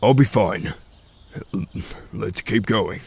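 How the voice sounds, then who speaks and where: A grave veteran wolf chief played by a man with a low voice. He speaks solemnly and with measured authority, like a father leading his pack in a fantasy audio drama. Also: lively intonation, vocal fry, a little mellow, breathy edge, exaggerated voice. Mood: steady, commanding.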